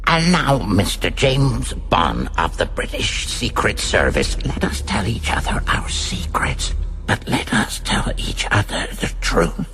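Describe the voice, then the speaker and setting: A sinister megalomaniac villain in a radio drama, with a low, gravelly voice that swoops dramatically from murmur to bellow. He is formal, cold and grandiose, weighing each word with menace. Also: big pitch swings, bright, forceful tone, faint music behind, heavily acted. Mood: menacing. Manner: theatrical, formal, deliberate, menacing. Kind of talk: broadcast radio drama acting.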